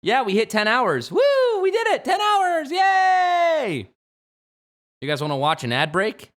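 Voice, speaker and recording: Playful young man, lively pitch. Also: a little slow. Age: 20s. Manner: playful, jokey, swings from mumbling to sudden shouts. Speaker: game streamer. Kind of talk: late-night livestream chat.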